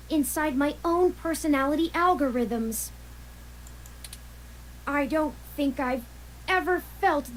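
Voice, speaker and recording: A woman voicing a young robot companion who grieves and seethes with anger, speaking in earnest, precise phrases with halting, pained pauses. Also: high voice, slight background noise, rough recording. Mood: grieving, angry. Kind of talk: video game voice acting.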